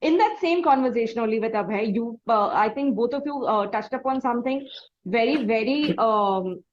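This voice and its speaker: A woman in her thirties with an Indian accent, asking interview questions conversationally, choosing formal words carefully and haltingly. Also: on the high side, animated, dull audio, a little hiss or hum. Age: 30s.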